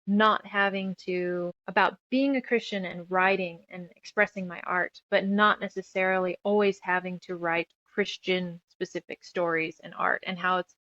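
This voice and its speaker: A woman's voice: mid-range voice, fairly bright tone, dry recording, lo-fi sound. A woman chatting casually as an interview guest, talkative and self-deprecating. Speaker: guest author and musician.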